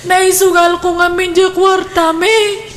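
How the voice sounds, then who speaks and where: A woman's voice: very high-pitched, little pitch movement, measured pace. A woman playing a fretful, easily led young woman in a comedic radio drama, speaking with a Filipino accent. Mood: distressed, pleading.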